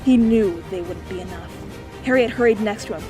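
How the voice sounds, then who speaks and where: A woman narrates a noir adventure audiobook in a steady, clear storytelling voice. Her pitch sits in the middle range. Soft music plays faintly beneath her. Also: brisk. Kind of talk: scripted narration.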